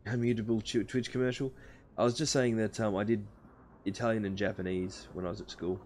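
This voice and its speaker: A man's voice: lowish voice, fairly even intonation, fairly quick, a little mellow, slight background noise. A young Australian man casually chatting with his live-stream viewers while playing a space game. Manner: chatty, answering chat viewers between game actions.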